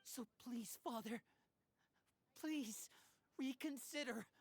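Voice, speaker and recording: A woman's voice: on the high side, slow pace, slight background noise. A woman playing an earnest, idealistic youth in a video game, respectful and heartfelt. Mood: pleading.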